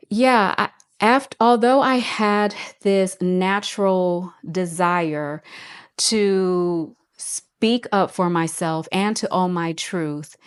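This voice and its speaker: A woman's voice: on the low side, clean tone. A woman in her forties talking to viewers in an earnest, reflective way, often going back to repeat a phrase. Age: 40s. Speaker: host. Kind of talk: personal motivational talk.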